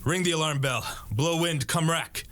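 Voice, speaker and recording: A man's voice: on the high side, normal speed, a bit bright, lightly acted. A young man playing a defiant, doomed warrior king in a Shakespearean radio play, delivering verse in a declamatory way. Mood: desperate, defiant. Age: young adult. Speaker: student actor.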